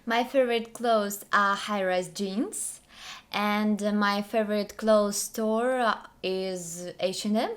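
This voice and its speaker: A woman's voice: medium pitch, fairly even intonation, slow, fairly bright tone. A woman with a Russian accent, a native Russian speaker, co-hosting an English lesson in simple, careful question-and-answer conversation with some hesitant phrasing.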